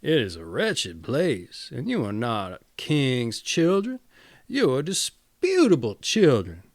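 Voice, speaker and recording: A man's voice: mid-range voice, huge pitch swings, slow pace, no room sound, clean studio sound, exaggerated voice. A man reading the lines of a curious, pompous bear in a fairy tale. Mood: haughty. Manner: demanding and scornful.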